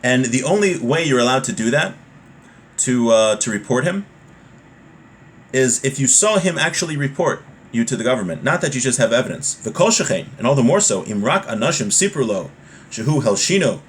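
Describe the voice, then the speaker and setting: A man's voice: mid-range voice, very animated, fairly quick, a little hiss or hum. A man giving an informal religious law lesson, reading from a text and explaining it conversationally with repeated points and everyday examples.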